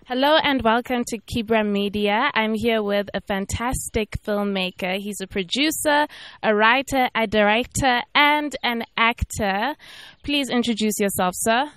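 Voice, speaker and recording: A woman's voice: fairly high pitch, a little slow, fairly bright tone. A woman hosting an interview, asking upbeat, encouraging questions. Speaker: interview host.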